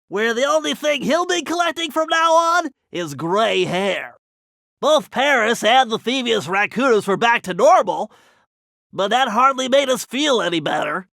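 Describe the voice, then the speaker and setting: A man's voice: high voice, very animated, unhurried, gravelly, pushed and bright, no room sound, clean studio sound, exaggerated voice. A man voicing a brainy, cautious turtle hacker in a cartoon video game, with a nasal voice and precise, wordy, slightly fussy delivery. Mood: measured narrating, then somber narrating.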